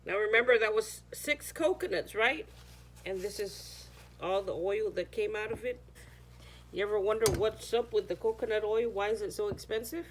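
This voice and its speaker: A woman's voice: mid-range voice, normal speed, slight background noise, poor audio. A woman whose first language is Chamorro, chatting casually through a home how-to video, sometimes searching for words. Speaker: home cooking vlogger.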